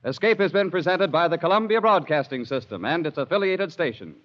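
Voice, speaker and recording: A man's voice: on the high side, expressive, fairly quick. A man announcing in a scripted 1940s radio style. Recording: lo-fi vintage radio broadcast. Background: faint music.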